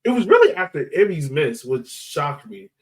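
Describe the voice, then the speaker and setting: A man's voice: a little high, big pitch swings, moderate pace. A man hosting a sports podcast in casual discussion, thinking aloud in an informal, conversational way.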